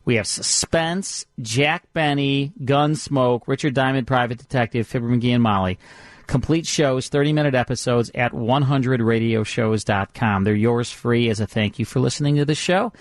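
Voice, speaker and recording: An American man hosts a radio show in a casual, conversational way. His voice sits at a medium pitch and sounds dry, as in a studio. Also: moderate pace, dry recording.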